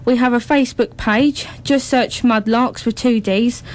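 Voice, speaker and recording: A woman's voice: fairly high pitch, fairly even intonation, measured pace, a little mellow. A woman giving informational announcements as a guest in a radio interview. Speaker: amateur drama group member being interviewed.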